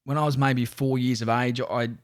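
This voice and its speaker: A middle-aged Australian man in his forties, a stadium announcer chatting as a podcast guest. His voice sits at an ordinary middle pitch, and he rambles in a friendly way, often restarting his sentences. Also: fairly even intonation, moderate pace. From Newcastle, Australia. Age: 40s. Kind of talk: casual podcast interview.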